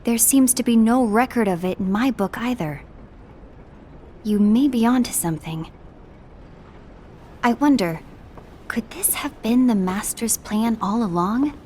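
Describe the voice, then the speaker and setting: An actress playing a composed, diplomatic young woman in a fantasy game, speaking formally and with measured politeness. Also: a little high, warm tone, slight background noise, natural voice. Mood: concerned. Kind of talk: scripted game cinematic acting.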